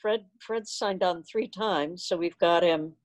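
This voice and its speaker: A woman's voice: on the low side, measured pace, no room sound. An older woman chatting casually on a video call as she helps run an online meeting. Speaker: meeting organizer.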